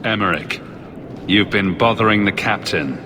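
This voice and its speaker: An actor playing a blunt, skeptical hero in a fantasy video game. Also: male voice, fairly low pitch, moderate pace, noisy background, lightly acted. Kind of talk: scripted video game acting. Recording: long echo, music behind.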